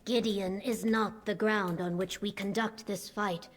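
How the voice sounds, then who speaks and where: An actress playing a grave, commanding military leader in a video game, speaking formally and with measured words. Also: female voice, medium pitch, fairly even intonation, natural voice.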